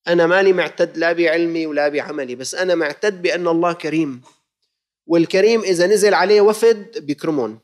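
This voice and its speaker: A man's voice: a little high, fast. A man with a Levantine Arabic accent preaching a sermon with fervent exhortation, firing rhetorical questions at his listeners and moving between formal classical phrasing and everyday dialect.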